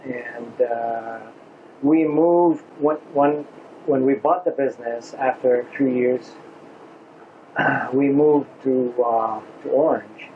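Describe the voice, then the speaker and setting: Halting man in his sixties. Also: medium pitch, slight background noise. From Lebanon. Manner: halting, with frequent uh and restarts. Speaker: restaurant owner. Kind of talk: casual interview answers. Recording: slightly dull lo-fi recording.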